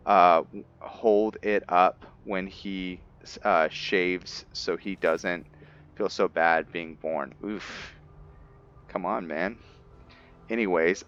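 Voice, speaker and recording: A man's voice: deep voice, a bit bright, smooth, highs cut off. A man giving casual, hesitant commentary on a video game, muttering asides to himself and sometimes reading text aloud. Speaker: gamer hosting a roleplay playthrough.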